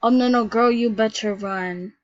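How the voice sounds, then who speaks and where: A woman commenting on a game she plays, excitable and jumping between shrieks and hushed whispers, sick and shivering with cold. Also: fairly high pitch, moderate pace, highs cut off. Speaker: game video creator. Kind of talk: casual gameplay commentary.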